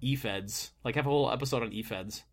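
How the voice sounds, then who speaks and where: A man's voice: medium pitch, fast talker. A young man hosting a casual podcast chat, rambling with fillers and laughing often. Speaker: podcast host.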